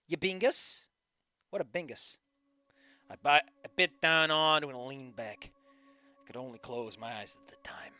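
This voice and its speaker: A man's voice: high voice, pushed and bright. A man reading a fan fiction story aloud live, breaking off often to make casual, profane, joking comments about the writing.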